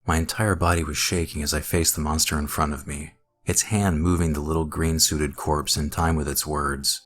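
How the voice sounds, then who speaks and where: A man narrating a scripted first-person horror story in a measured, dramatic way. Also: extremely low pitch, flat delivery, a little hiss or hum. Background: music.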